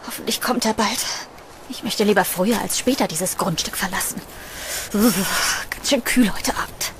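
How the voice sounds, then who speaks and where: A woman's voice: mid-range voice, moderate pace, background noise, natural voice. A woman playing an anxious young student trapped in a haunted house in a horror audio drama. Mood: anxious waiting.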